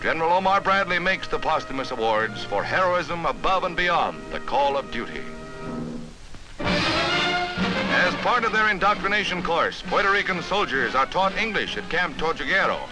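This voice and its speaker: American man, 1950s newsreel narration, animated swooping intonation, formal and emphatic, music behind. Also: on the high side, moderate pace, poor audio. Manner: formal, emphatic, dramatic announcer delivery. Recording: vintage newsreel soundtrack with music.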